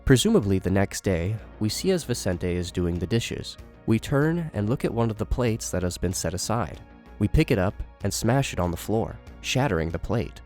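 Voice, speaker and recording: A man's voice: lowish voice, expressive, moderate pace, darkish tone, faint music behind. A man giving scripted narration and commentary as a horror series commentator.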